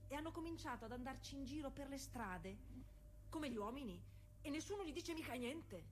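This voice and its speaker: A woman's voice: sits high, expressive. Weary, stubborn woman cyclist, faint noise. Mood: bitter, musing. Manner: intimate colloquial storytelling with dramatic pauses. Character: defiant working-class 1920s woman cyclist, weary but stubborn. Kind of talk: theatrical dramatic monologue.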